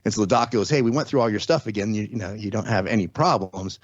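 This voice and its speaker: A middle-aged man with a low voice tells his story in loose, rambling talk, restarting sentences and tossing in "right?" often. The sound is dry and a bit dull, like a lo-fi recording. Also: fast talker, no echo. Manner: rambling, many restarts and tag questions. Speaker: guest. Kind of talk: casual interview, storytelling.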